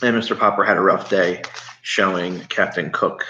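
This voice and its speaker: A man reading a storybook aloud, pausing to explain things and ask questions as he goes. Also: deep voice, steady tone, bright, forceful tone, a little hiss or hum. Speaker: read-aloud storyteller to children. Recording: slightly muffled, echoey room.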